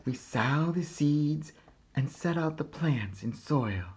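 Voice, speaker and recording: Slow, expressive man reading a storybook. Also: fairly high pitch, breathy edge, muffled recording. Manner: deliberate, expressive phrasing with pauses. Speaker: teacher reading a picture book aloud. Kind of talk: slow children's storybook read-aloud.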